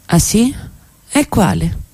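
A woman's voice: mid-range voice, expressive, takes it slowly, warm tone, breathy edge, exaggerated voice. A woman in a radio play acting a provocative middle-class wife who talks in an offhand, deflecting way. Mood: evasive, offhand. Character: evasive, provocative middle-class wife, cool then pleading.

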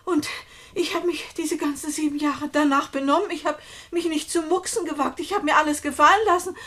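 A woman's voice: high-pitched, steady tone, brisk, fairly bright tone, no echo. An actress playing an anxious, downtrodden middle-aged woman in a German radio play, speaking in a hesitant, earnest, pleading way. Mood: hurt, bitter. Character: anxious, downtrodden forty-year-old female companion.

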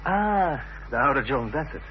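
An actor playing a friendly, jovial young country lawyer in a radio drama, with an easygoing gentlemanly manner. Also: male voice, on the high side, lively intonation, takes it slowly. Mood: jovial, fond. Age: young adult. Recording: muffled, noisy old radio play recording.

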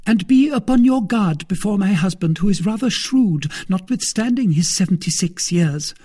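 A man's voice: sits high, expressive, moderate pace, mellow, breathy edge, pitched above the performer's own voice. A man voicing a lovesick, jealous, tight-fisted married woman in a storybook, pleading and indignant in turn. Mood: urgent. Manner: pleading, indignant, emotionally overwrought.

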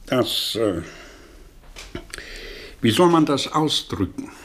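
An actor playing a proud, scornful intellectual professor in a radio play, searching for words with theatrical emphasis and a husky voice. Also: male voice, fairly high pitch, heavily acted. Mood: rapt, searching. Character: proud, scornful, brooding intellectual professor confessing an old obsession. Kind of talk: German radio play acting, 1960.

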